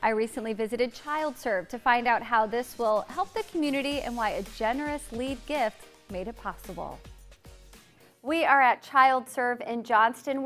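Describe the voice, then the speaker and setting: A woman's voice: a little high, a bit bright. A woman in her thirties with an American accent, presenting and interviewing as host of a lifestyle TV show. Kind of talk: scripted presenting and friendly interviewing.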